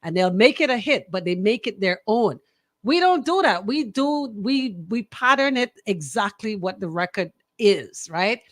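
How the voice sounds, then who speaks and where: Woman, Bahamian accent, mid-pitched voice, radio host, opinionated, emphatic, repeats for emphasis, close dry studio sound. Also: lively intonation, unhurried, no echo. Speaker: radio talk show host.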